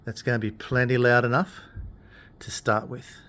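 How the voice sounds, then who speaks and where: A man talking through equipment in an informal tutorial, explaining things in a plain-spoken, unhurried way. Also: medium pitch, level tone, a bit bright. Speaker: instructor demonstrating PA equipment.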